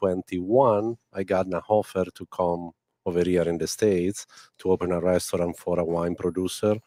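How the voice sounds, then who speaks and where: Italian guy, chef, low voice, broken english but easygoing, dry close sound. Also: steady tone, dark tone, no echo. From northeast Italy, near Venice. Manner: halting non-native English, plain and friendly. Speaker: restaurant chef. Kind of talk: casual interview chat.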